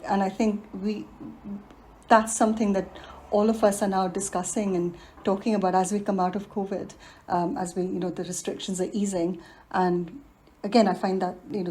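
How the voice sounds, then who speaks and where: A woman in her forties from India, speaking reflectively in a podcast interview and laughing often. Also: medium pitch. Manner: warm, reflective, laughs often, many fillers. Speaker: guest, environmental design professional.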